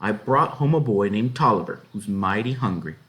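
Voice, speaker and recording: An easygoing, middle-aged country farmer and father from a storybook, played by a man reading aloud. He talks in a hesitant, folksy drawl with animated pitch. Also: moderate pace. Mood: placating.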